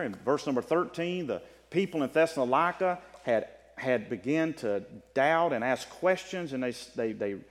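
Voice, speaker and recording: A man preaching a sermon, teaching from the Bible in an emphatic way that swings between loud and soft. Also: mid-range voice. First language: English. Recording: long reverb of a hall.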